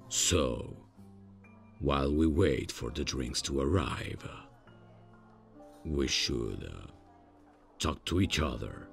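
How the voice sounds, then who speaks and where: A man acts out a polite but socially stiff demon from hell in an ASMR roleplay. The voice is extremely deep and gravelly, and the delivery is very slow. He talks formally, with awkward hesitations and a deadpan tone. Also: full character voice. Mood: stiff, pleased. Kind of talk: ASMR roleplay, scripted comic monologue.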